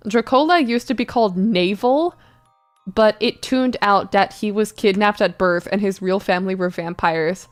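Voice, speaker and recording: Clear-voiced woman reading fan fiction theatrically. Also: mid-range voice, faint music behind. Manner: exaggerated, theatrical delivery that keeps deliberate misspellings. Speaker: streamer doing dramatic readings. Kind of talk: dramatic reading of bad fan fiction, with asides.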